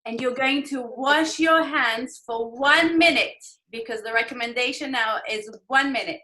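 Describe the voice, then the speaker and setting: A woman's voice: high-pitched, measured pace, pushed and bright. A woman teacher leading children through an online class assembly, giving instructions and prompting them to answer.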